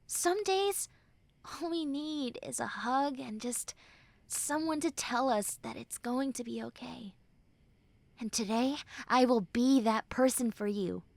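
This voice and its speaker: A woman voicing a prickly but caring tsundere young woman in a roleplay audio, with halting, sighing delivery. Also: a little high, creaky, darkish tone, no echo. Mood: tender, reassuring. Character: prickly tsundere young woman who turns tender and comforting. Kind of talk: roleplay audio acting addressed to the listener.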